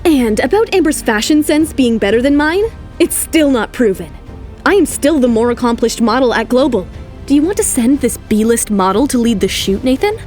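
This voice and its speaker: Woman playing confident young model, female, high voice, cool, proud, firm, audio drama, faint music. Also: expressive, moderate pace, a little mellow, natural voice. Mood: defiant. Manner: firm, self-possessed.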